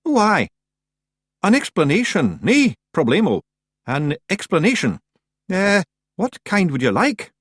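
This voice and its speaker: A tiny clan chief of little fairy men chatters in a squeaky, shrill Scottish voice, eager and evasive. His voice leaps up high and swings wildly. The take is close and dry. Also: huge pitch swings, unhurried, long pauses, fairly bright tone, dry recording, heavily acted. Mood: nervous.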